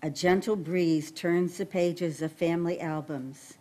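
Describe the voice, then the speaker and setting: Unhurried older woman reading a poem. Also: lowish voice, steady tone, a little mellow. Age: older, 60s or above. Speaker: poetry reader. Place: library meeting room at a lectern. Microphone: podium microphone.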